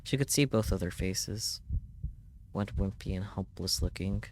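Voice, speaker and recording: A man's voice: sits low, unhurried, a little mellow, a little hiss or hum. A man reading a visual novel's script aloud as a streamer, in a dramatic storytelling style. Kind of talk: dramatic read-aloud narration of a game script.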